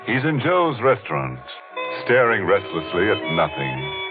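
Slow, swooping ghoulish radio horror host. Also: male voice, fairly low pitch, big pitch swings. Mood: ominous. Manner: drawn-out, mock-sinister delivery with macabre relish. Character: ghoulish, tongue-in-cheek male horror host relishing morbid jokes. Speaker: host of a mystery radio show. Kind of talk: 1940s radio horror hosting. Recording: muffled old radio recording with music.

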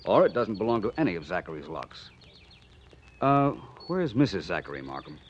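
An American man playing a suave, inquisitive gentleman detective in a 1940s radio drama, urbane and coolly probing. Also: low-pitched, sing-song, moderate pace, a little hiss or hum. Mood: breezy, knowing. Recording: muffled 1940s radio recording.

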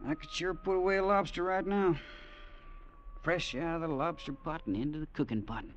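An actor playing a wry, homesick cavalry trooper with a folksy, sardonic manner in a 1950s radio drama. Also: male voice, a little high, normal speed, muffled recording, natural voice. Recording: old radio broadcast, faint noise.

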